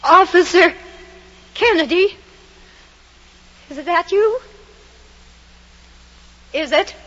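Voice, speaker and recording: A woman's voice: very high-pitched, takes it slowly, fairly bright tone, full character voice. An actress playing a terrified young woman alone at night in 1940s radio drama style, breathless and melodramatic as she talks to herself. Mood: anxious, frightened. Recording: noisy, muffled old radio recording.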